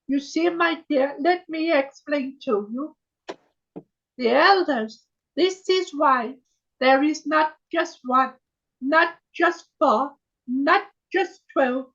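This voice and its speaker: Gentle, high-voiced female spirit, voiced by a trance medium: slow, archaic, solemn, muffled call audio. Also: full character voice. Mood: solemn, tender. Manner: slow, solemn, archaic phrasing, keeps saying my dear. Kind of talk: trance channeling on a video call. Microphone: video call.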